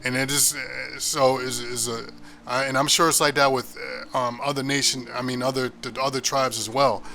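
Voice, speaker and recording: American man, informal Bible teacher; bright, forceful voice, emphatic, conversational, restarts often, faint music underneath. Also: medium pitch, little pitch movement, moderate pace. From United States.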